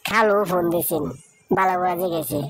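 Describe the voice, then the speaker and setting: A woman's voice: lowish voice, steady tone, brisk, fairly bright tone, lightly acted. A woman voicing a chatty young working woman in a village cartoon, with a familiar manner and rural Bangladeshi regional Bengali. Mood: concerned gossiping. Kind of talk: cartoon dialogue in colloquial dialect. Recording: music behind the voice. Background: music.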